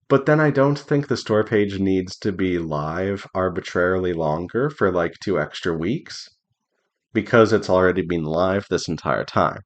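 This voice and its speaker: A man talking in a casual, unscripted devlog style, rambling a little, hesitant and self-conscious, as an indie game developer updating followers. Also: low-pitched. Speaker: indie visual novel developer giving a devlog.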